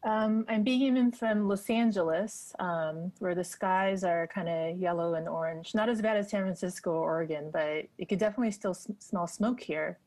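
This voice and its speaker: A woman's voice: fairly low pitch, normal speed, hardly any pauses, a little mellow. A woman in her thirties with an American accent, chatting casually as a panel guest on a video call. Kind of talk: casual chat. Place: indoor room on a video call. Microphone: earphone headset on video call.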